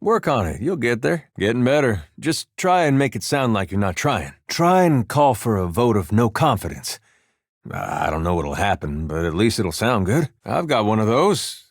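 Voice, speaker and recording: An actor playing an easygoing, wry farmer turned war veteran adventurer in a video game, with a folksy, drawling, dryly humorous delivery. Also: male voice, on the low side, very animated, moderate pace, dry recording, clean studio sound. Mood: teasing, easygoing. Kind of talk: video game character dialogue.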